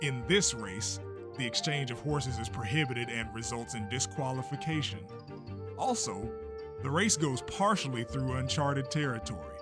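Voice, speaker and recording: A man playing a bold, theatrical race promoter in a manga dub, addressing the press with deliberate, declamatory delivery. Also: medium pitch, natural voice. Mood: measured, confident. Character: showman race promoter, male, bold and grandiose. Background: music.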